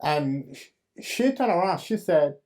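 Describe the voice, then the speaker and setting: A man, hosting and giving his own faith testimony in an earnest, halting storytelling manner with fillers and restarts. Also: a little high, sing-song, a little mellow. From Cameroon. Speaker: host.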